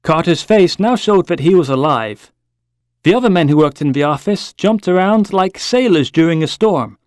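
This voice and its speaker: A man narrating a short story in an expressive, measured storytelling manner. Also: a little high, no echo, clean studio sound. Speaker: story reader.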